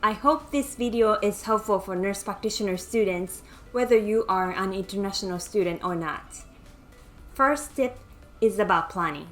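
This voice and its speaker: A woman's voice: medium pitch, moderate pace, faint music behind. Japanese-accented woman giving earnest advice. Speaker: nurse practitioner mentor. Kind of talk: scripted educational talk.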